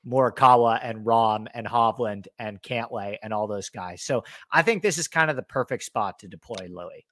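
A man's voice: on the low side, moderate pace, no echo. A man co-hosting a golf betting podcast, talking through his picks in casual chat. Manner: animated, talks through picks with fillers. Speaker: podcast co-host, golf analyst.

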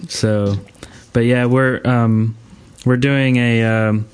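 A male podcast host with a mid-range voice chats casually. His delivery is halting and rambles as he goes. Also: mid-range voice, level tone, clear, resonant tone. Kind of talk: casual chat. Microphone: online voice call.

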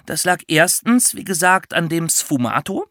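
A woman's voice: on the low side, very animated, normal speed, fairly bright tone, no echo, studio quality. A woman co-hosting an educational podcast for children, explaining step by step in a conversational way.

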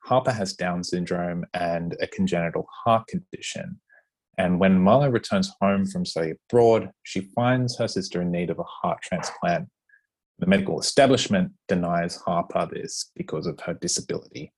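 A man hosting a casual interview, chatty and relaxed, with lots of fillers. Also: low voice, normal speed, rough recording. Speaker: podcast host interviewing an author.

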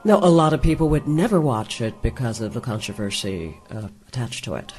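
A man's voice: mid-range voice, very animated, a little fast, darkish tone, faint music behind. A man on a talk show making short, blunt, frank remarks.

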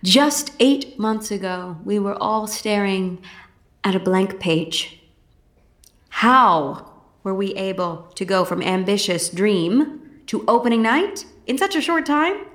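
Gushing theater director, echoing stage. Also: female voice, mid-range voice, animated, fairly bright tone. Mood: grandly grateful. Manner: gushing, grandiose, with dramatic pauses. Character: effusive, theatrical female Broadway director addressing an opening-night crowd. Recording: echoey stage microphone with noisy crowd.

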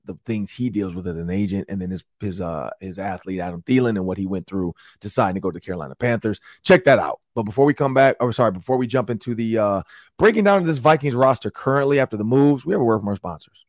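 A middle-aged man with an Upper Midwest American accent hosts a sports talk podcast, his voice hoarse and raspy from yelling, and he tells stories in a rambling, excitable way. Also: lowish voice, fast talker, dry recording, studio quality. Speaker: sports talk show host. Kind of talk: casual podcast chat.

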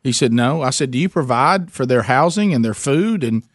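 A man's voice: medium pitch, expressive, normal speed, no echo. Male talk radio host, dry studio sound. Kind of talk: conversational call-in talk radio.

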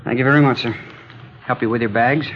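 An actor playing an overly polite, nosy hotel bellboy in a 1950s radio drama. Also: male voice, mid-range voice, steady tone, a little fast, lightly acted. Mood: fawning. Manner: overly polite, fawning, keeps saying sir. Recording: noisy lo-fi old radio broadcast.

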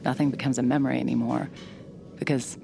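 A woman's voice: a bass voice, level tone, fast, gravelly, exaggerated voice. An actress playing a blunt, cynical young woman who reads minds, with dry sardonic inner monologue. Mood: brooding. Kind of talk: audio drama acting with inner monologue.

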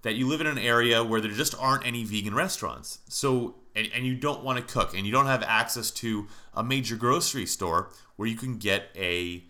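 Nasal, bright-voiced American man podcasting. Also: fairly low pitch. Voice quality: nasal, congested. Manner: informal, conversational, mildly profane. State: stuffed up with allergies. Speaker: podcast host. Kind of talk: casual solo podcast talk.

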